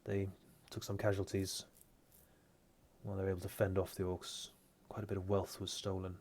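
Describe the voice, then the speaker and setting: A man voicing a formal male knight giving a measured briefing in a tabletop game. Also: low voice, monotone, darkish tone, slight background noise, natural voice. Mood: grave.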